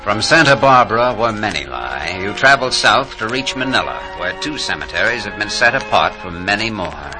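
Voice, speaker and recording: A man's voice: lowish voice, very animated, normal speed, few pauses, poor audio. A man narrating a scripted 1940s radio drama as its announcer. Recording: vintage radio with loud music behind. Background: loud music.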